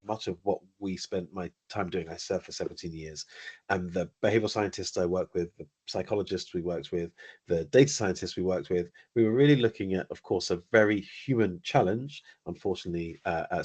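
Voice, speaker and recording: Husky low-voiced British man, measured. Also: darkish tone. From United Kingdom. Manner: articulate, measured, dry understated humour. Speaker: company founder, guest. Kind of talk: webinar interview answers.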